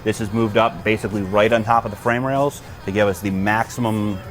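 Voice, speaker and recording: Fast-talking low-voiced American man. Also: fairly even intonation, faint music behind. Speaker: fire and rescue chief, giving equipment tour. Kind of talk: informal explanatory walkaround.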